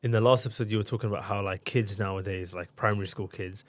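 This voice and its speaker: A man from London with a London accent, hosting a podcast in a relaxed, conversational way. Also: on the low side, quick pace, noisy background. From Islington, London. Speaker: podcast host. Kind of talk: casual podcast chat.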